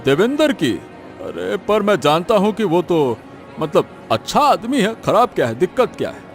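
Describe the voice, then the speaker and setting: A man's voice: high voice, huge pitch swings, normal speed, soft background music, full character voice. A man playing a hesitant, easily swayed village headman in a cartoon, folksy and mildly cautious. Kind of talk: Hindi cartoon moral story acting.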